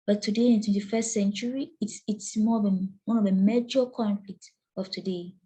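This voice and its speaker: A young woman from Cameroon delivers a lecture presentation in a mellow, slightly breathy voice. She talks earnestly, often pausing on fillers and restarting her phrases as she works through her points. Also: mid-range voice, fairly even intonation.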